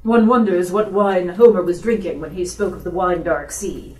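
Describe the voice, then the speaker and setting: Woman putting on a lower, reserved gentleman. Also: slight background noise, natural voice. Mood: composed. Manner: measured, understated, formal. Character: reserved, dry, courteous English gentleman husband. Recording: roomy bookstore reading, distant mic.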